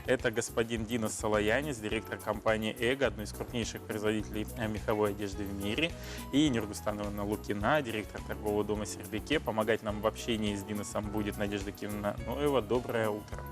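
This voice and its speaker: Casting: male morning TV host, low voice, quick formal delivery, music playing under. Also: steady tone. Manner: brisk, formal, fast-paced questioning. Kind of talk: live studio interview.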